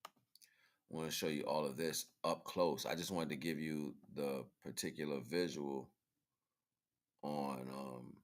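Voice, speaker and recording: Very deep-voiced man, casual livestream talk. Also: steady tone, a little slow. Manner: informal, rambling, repeatedly checking in with "right?" and "you know what I'm saying". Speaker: livestream host. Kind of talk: casual livestream commentary on pictures.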